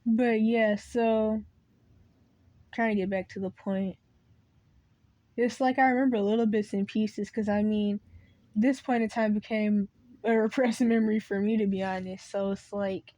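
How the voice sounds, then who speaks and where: A woman's voice: on the high side, dark tone, dry recording. Rambling woman with a cracking voice. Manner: meandering, loses her train of thought and restarts. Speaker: video creator. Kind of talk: rambling unscripted monologue.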